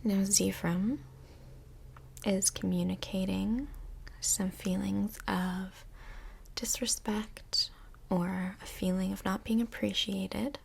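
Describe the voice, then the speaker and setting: A woman playing a calm, reassuring couples' counsellor in a soft-spoken, breathy, mostly whispered ASMR role play, gentle and measured. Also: medium pitch, slight background noise. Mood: calm, encouraging.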